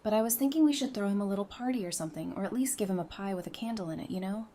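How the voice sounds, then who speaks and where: A woman's voice: mid-range voice, rapid-fire, warm tone, lightly acted. A woman playing a friendly, knowledgeable member of a survivor community in an audio drama, speaking in an easygoing, explanatory way. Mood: upbeat.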